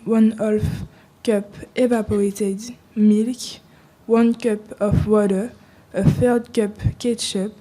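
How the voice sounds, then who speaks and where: A teenage girl slowly reading out a recipe for a school radio show. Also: a little high, warm tone, poor audio. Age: teens.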